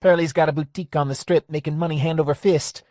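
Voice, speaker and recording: A man putting on a high folksy old-timer hangout owner. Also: steady tone, fast, darkish tone, no room sound, clean studio sound, lightly acted. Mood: wistful.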